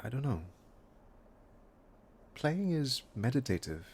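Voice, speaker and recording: An actor playing a reticent, intense musician in an audio drama, speaking with a husky voice in a halting, poetic, introspective way. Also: male voice, medium pitch, sing-song, a little mellow, exaggerated voice. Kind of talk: naturalistic audio drama dialogue and lyrical monologue.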